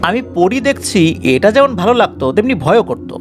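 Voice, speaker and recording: A man's voice: sits high, big pitch swings, moderate pace, soft background music. A man narrating a scripted first-person ghost story in a dramatic way.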